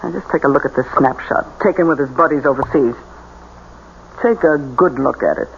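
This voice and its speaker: A woman's voice: very deep voice, moderate pace, gravelly. An actress playing a scheming, bossy female con artist in a radio drama, speaking in a commanding, insistent way. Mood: scheming, instructive. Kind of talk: old-time radio drama acting. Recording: dull, noisy old radio recording.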